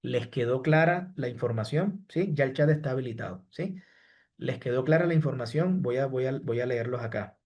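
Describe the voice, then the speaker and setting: A man running a live online course, reading out students' chat questions and answering each one by name. His voice sits at an ordinary middle pitch, and he checks in after almost every sentence. He talks in a patient, repetitive way. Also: normal speed, darkish tone, highs cut off. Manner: repeats "¿sí?" and "estimados" after each point.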